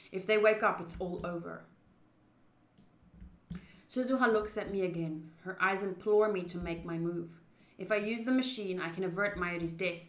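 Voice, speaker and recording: Bright, echoey woman reading dramatically. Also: fairly low pitch. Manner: expressive, dramatic, with excitable interjections. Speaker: streamer playing through a visual novel. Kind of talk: reading game narration aloud with reactions. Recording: roomy echo.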